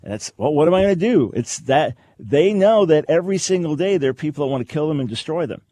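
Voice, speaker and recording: A man's voice: fairly high pitch, lively intonation, fast talker, warm tone, no room sound. American man hosting talk radio, dry studio sound. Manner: conversational, rhetorical questions, acts out examples. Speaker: radio talk show host. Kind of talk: unscripted talk radio commentary.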